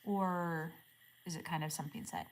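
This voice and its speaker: A woman in her forties chatting casually with viewers on a livestream, asking friendly questions and murmuring softly when she reads comments. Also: low-pitched, fairly even intonation, normal speed. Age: 40s. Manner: drops to a soft murmur when reading comments aloud. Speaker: live streamer.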